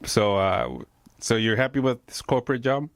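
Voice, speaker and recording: A man's voice: moderate pace, no echo. A man hosting a casual talk show, joking and teasing his guest. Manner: joking, teasing, lively pitch. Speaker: show host. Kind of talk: casual interview chat.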